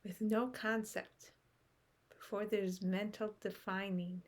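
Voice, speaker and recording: Woman doing guided meditation, soft husky breathy voice, lots of pauses, slips into whispering, slow going. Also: mid-range voice, level tone. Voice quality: breathy, often trailing into a whisper. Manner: soft, unhurried, with long pauses.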